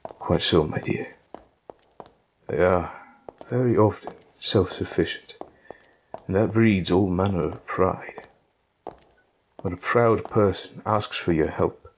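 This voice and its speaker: A man playing a patient, gentle older king in an audio drama, with deliberate, formal diction. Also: sits low, slight background noise, poor audio. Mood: patient, explaining.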